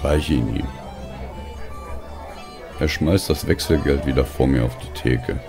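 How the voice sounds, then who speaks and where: Very deep gravelly man narrating. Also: fairly even intonation, measured pace. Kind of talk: scripted first-person audio story narration. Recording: music behind the voice. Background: music.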